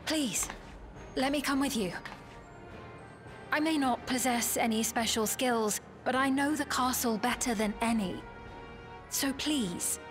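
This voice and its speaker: An actress playing an earnest, gentle young royal woman in a video game, speaking in a formal, measured and solemn way. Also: fairly high pitch, music in the background, natural voice. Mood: earnest pleading. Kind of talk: dramatic video game voice acting.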